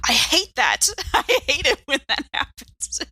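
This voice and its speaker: A woman chats casually as a player at a tabletop game, wry and joking. Her voice is bright and very animated in pitch. It is recorded dry, with little room sound. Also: a little slow, no room sound. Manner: expressive, wry.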